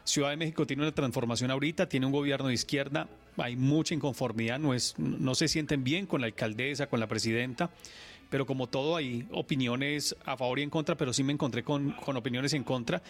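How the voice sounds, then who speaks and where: A Colombian radio sports journalist, a man, telling his stories in an earnest, animated way during a radio interview. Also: mid-range voice, fairly quick.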